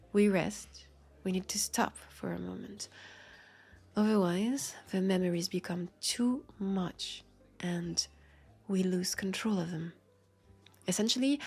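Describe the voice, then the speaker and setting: Actress for wary old vampire detective, female, French accent, husky breathy voice, pensive roleplay delivery over background music. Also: medium pitch, exaggerated voice. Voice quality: breathy, soft. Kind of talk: intimate scripted audio roleplay, addressed to listener. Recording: music under the voice.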